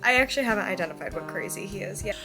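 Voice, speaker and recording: A woman talks casually in a reading vlog over background music. She is effusive and dramatic, with lots of slang. Her voice sits at a middling pitch. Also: expressive, very fast. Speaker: book vlogger.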